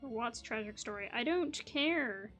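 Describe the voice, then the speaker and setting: A woman's voice: fairly high pitch, expressive, measured pace, a bit bright, faint music behind. A woman hosting a gaming video, giving casual, musing commentary that wanders off on tangents. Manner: musing, digressive. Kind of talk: casual chatty playthrough commentary.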